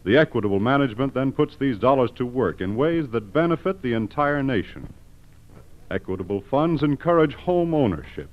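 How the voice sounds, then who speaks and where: A man announcing for a 1940s radio programme and its sponsor in a formal, deliberate, scripted style. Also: mid-range voice, lively intonation. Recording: muffled vintage radio broadcast.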